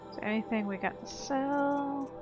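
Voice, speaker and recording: Casual woman gamer over clear music. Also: sits high, normal speed, rough recording. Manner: offhand, unscripted. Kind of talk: casual gameplay commentary. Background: game music.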